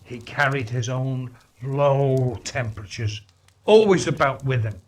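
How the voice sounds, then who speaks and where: A man reading a story aloud as a dramatic narrator, with deliberate, theatrical emphasis. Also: mid-range voice. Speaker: story reader.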